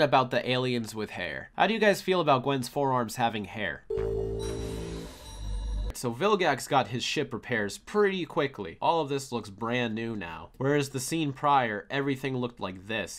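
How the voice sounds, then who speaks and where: A man's voice: medium pitch, a bit bright. A young man giving casual, analytical commentary on a cartoon as a show host. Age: young adult. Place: small indoor room, seated at desk.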